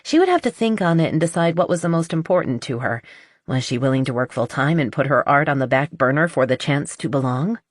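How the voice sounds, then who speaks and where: A woman with a low-pitched voice narrates romantic fiction. She reads scripted prose as an audiobook narrator. The sound is very dry, with no room around it. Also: expressive, brisk, dry recording.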